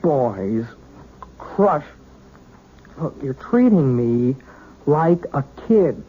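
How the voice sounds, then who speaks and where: A young actor playing an earnest, stammering piano student in a 1970s radio drama. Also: male voice, on the high side, big pitch swings, extremely slow, a little hiss or hum, full character voice. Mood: indignant. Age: young adult. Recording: tinny old radio broadcast with music.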